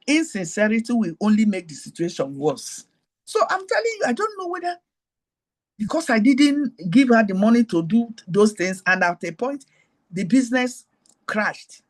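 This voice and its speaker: A woman with a Nigerian accent hosting her show, giving a teaching talk mixed with personal stories and repeating her main points to listeners on a group call. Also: darkish tone. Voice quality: high-pitched. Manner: digressive, repeats key phrases, talks straight to listeners. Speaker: host.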